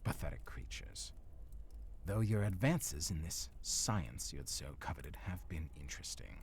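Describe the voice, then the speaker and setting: An extremely deep, menacing villain lord, cold and contemptuous. His gravelly voice moves in lively swells as he talks with formal, deliberate condescension. It is an audio drama with faint noise behind it. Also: exaggerated voice. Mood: condescending. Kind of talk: scripted audio roleplay villain acting.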